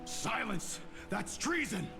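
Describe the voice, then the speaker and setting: A man's voice: sits high, big pitch swings, slow, pushed and bright, heavily acted. An actor playing a proud, blustering military officer, fiercely loyal to his ruler, with a pompous, formal delivery in anime game style. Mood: angry. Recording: game music clearly behind.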